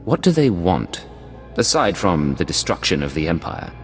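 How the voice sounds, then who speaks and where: An actor voicing a cool, skeptical secret agent in a video game, with a dry, guarded manner. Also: male voice, sits low, big pitch swings, normal speed, long reverb tail, faint music behind. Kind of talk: video game dialogue acting.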